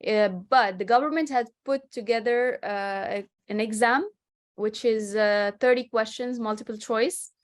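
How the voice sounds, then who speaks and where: A woman's voice: a little high, measured pace. Chatty woman explaining things. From Morocco. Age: 30s. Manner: chatty and explanatory, with frequent restarts. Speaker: guest real estate agent. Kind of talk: casual interview answers. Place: home with a city skyline behind.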